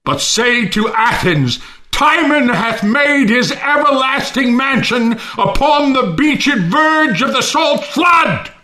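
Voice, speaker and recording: A high, wildly swooping, bitter misanthrope. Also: male voice, heavily acted. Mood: anguished, declaiming. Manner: theatrical, swinging from quiet to declaiming. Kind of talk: Shakespearean audio play acting.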